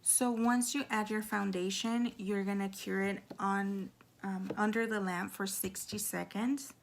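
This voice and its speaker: A woman with a hoarse, raspy voice giving a casual at-home nail how-to, chatty with lots of fillers. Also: medium pitch, steady tone, a little slow, a little hiss or hum. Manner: chatty, filler-heavy, drops into whispers. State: hoarse from talking. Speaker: nail tutorial vlogger.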